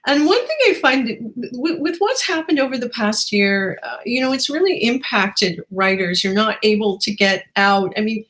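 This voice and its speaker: A woman's voice: on the high side, lively intonation, moderate pace, hardly any pauses, a bit bright. Encouraging woman interviewer. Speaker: interviewer and host. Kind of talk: casual conversational interview.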